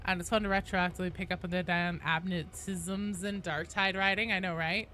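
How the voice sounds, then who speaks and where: Bright-voiced woman streamer chatting casually. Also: fairly low pitch, fairly even intonation, fairly quick. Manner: swears freely. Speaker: game livestreamer reading and answering viewer chat. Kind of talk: casual live stream chat.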